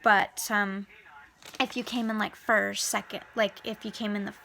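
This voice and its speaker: Chatty Canadian woman with a gravelly edge. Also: medium pitch, little pitch movement, normal speed, sharp tone, a little hiss or hum. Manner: rambling, chatty, swears freely, drops to whispers. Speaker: vlogger answering questions. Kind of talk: casual chat.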